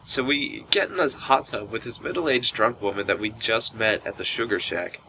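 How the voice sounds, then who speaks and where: Young male storytelling vlogger, deeper bright voice, casual and animated, noisy camera mic. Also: normal speed. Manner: animated retelling, acts out quoted speech. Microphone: built-in camera microphone. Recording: noisy low-quality camera microphone.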